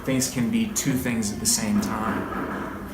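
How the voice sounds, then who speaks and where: A man's voice: on the low side, steady tone, slow pace. Man teaching in a noisy, echoey room. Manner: encouraging, conversational, repeats listeners' answers. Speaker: read-aloud trainer. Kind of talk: interactive read-aloud teaching. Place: indoor group training session. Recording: roomy, distant, clearly noisy room recording.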